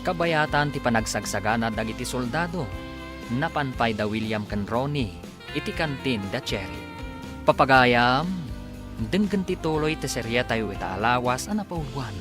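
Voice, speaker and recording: A man's voice: medium pitch, expressive, moderate pace. Filipino male radio announcer over music. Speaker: radio drama announcer and narrator. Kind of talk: scripted radio serial narration.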